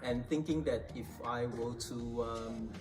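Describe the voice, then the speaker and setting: A man's voice: medium pitch, unhurried. Singaporean man, sounding far from the mic. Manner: relaxed, many fillers, acts out quotes. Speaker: guest. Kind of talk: casual chat. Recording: distant mic with music behind.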